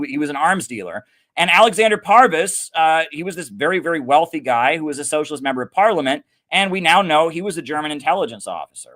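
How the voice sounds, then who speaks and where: A man's voice: on the high side, a bit bright. A man speaking as a podcast guest, giving emphatic off-the-cuff political commentary and repeating phrases as he explains.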